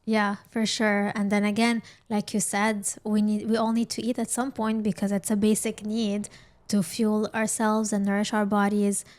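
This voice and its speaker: A woman's voice: medium pitch, completely flat delivery, normal speed, a little mellow. A woman hosting a casual conversational interview, affirming what her guest says as she talks. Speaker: podcast host.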